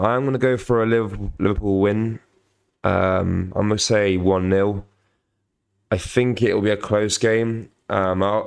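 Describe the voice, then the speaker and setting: A young man with a cold-croaky voice hosting a casual live football watch-along, halting with many ums while reading viewer comments. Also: low voice. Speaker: football channel host.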